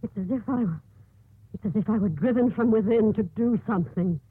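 An earnest, compassionate nun in a 1950s radio play, driven by deep conviction. Her voice is a little husky and sits at a middle pitch, heard through a narrow, muffled broadcast. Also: brisk, no echo. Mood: urgent, pleading. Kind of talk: 1950s American radio drama acting.

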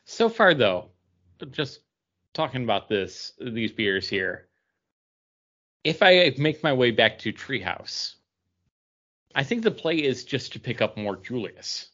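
Male co-host, expressive swings in pitch, relaxed conversation, dull-sounding recording. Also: slow, long pauses. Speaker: podcast co-host. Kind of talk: casual chat about craft beer.

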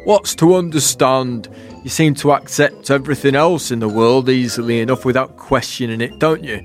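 Man playing a demanding, loud night sky creature, lecturing, faint music under. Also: medium pitch, normal speed, close to an everyday voice. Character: bossy, demanding fallen piece of night sky.

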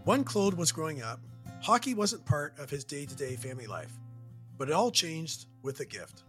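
A Canadian man hosting and narrating a documentary in a measured, earnest way. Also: fairly high pitch, sing-song, a bit bright, soft background music. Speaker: host and narrator. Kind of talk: scripted narration.

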